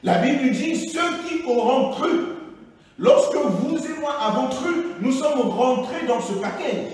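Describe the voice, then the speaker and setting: A man preaching a sermon as pastor, emphatic, peppering his talk with alleluias and swinging between loud and hushed. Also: very high voice, lively intonation, measured pace. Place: church hall. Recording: echoey church hall, voice from far.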